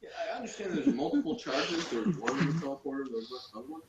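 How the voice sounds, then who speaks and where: A man's voice: medium pitch, fast, a crowd in the background. A man co-hosting a casual review. He speaks hesitantly and trails off. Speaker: podcast co-host. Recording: distant, roomy, noisy lo-fi audio.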